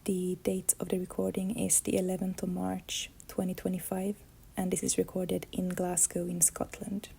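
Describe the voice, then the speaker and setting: Gravelly, even-toned Finnish-woman. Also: fairly low pitch, moderate pace. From Finland. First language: Swedish. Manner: thoughtful, searching for words. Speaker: illustrator and comics artist. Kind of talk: reflective life-story monologue.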